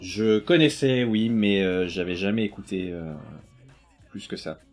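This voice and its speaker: A man's voice: fairly low pitch, measured pace, darkish tone, faint music behind. A middle-aged man chatting casually, hesitant and often searching for his words. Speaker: podcast co-host. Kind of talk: casual chat.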